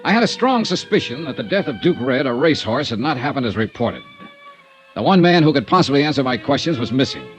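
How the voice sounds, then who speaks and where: A man's voice: medium pitch, big pitch swings, fast, music in the background, close to an everyday voice. An actor playing a confident, dry male insurance investigator who also narrates, in a 1950s radio drama. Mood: probing. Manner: dry, probing, understated.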